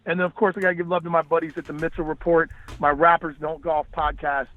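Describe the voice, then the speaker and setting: High-voiced man, rapper on a talk show. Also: level tone, quick pace, narrow, tinny audio. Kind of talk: freestyle battle rap and casual promotional chat.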